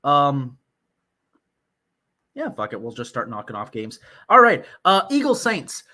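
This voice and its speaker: Bright-voiced man bantering about sports. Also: medium pitch, wildly swooping pitch, a little slow. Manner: profane, blunt. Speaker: sports podcast host.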